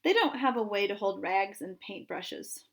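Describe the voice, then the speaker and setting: A woman's voice: mid-range voice, lively intonation, moderate pace, creaky, pitched below the performer's own voice. A woman voicing a sheepish, evasive older brother in a storybook, careful and subdued. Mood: assured.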